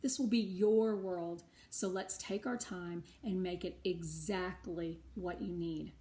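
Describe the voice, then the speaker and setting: A woman's voice: on the low side, steady tone, slow pace, dark tone. A woman voicing an enthusiastic, patient adult helper in a storybook, with encouraging, emphatic delivery. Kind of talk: storybook character dialogue.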